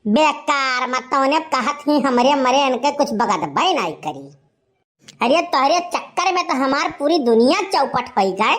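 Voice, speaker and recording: A woman's voice: high-pitched, animated, unhurried, a bit bright. A woman playing a desperate, lovesick young village man in a cartoon comedy, pleading melodramatically with an Awadhi accent. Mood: aggrieved. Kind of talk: animated comedy dialogue.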